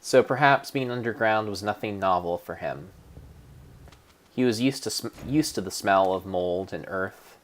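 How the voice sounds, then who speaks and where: Low-voiced man narrating dramatically. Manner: theatrical, deliberate, mock-solemn. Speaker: narrator of a game playthrough. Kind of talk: dramatic storybook-style narration.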